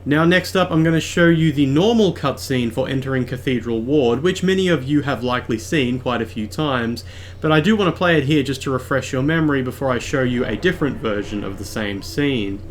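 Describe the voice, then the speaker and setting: Male video essayist, calm scripted narration, explains clearly, medium pitch. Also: a little fast, a little hiss or hum. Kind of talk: scripted game commentary voice-over.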